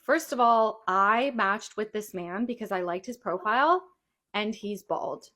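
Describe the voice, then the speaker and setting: A woman's voice: medium pitch, expressive, measured pace, sharp tone. A woman in her late twenties telling a personal story in casual chat, with an emphatic, indignant manner. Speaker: storyteller recounting a personal experience.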